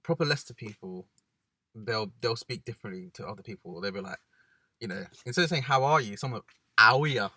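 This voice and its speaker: Animated man chatting, dry close sound. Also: fairly low pitch, husky, dry recording. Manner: animated, quick storyteller who imitates others. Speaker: podcast co-host. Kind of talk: casual chat. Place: parked car.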